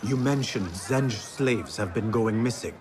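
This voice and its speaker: An actor playing an earnest, loyal young male assassin in a video game, speaking in a measured way. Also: lowish voice, crowd noise, close to an everyday voice. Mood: calm, probing. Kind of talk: video game cutscene acting.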